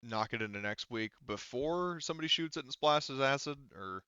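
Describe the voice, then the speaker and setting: A man's voice: medium pitch, expressive, moderate pace, pushed and bright, smooth, dry recording, dull audio. A man talking casually and informally as game master of an online tabletop roleplaying game, thinking through the rules aloud.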